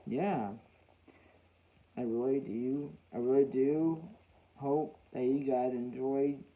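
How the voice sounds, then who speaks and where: A man talking casually and reminiscing to viewers as a hobbyist host, in a halting way with repeated phrases. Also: mid-range voice. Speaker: weather radio hobbyist hosting a video. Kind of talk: casual, reminiscing vlog talk. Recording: dull, lo-fi home recording.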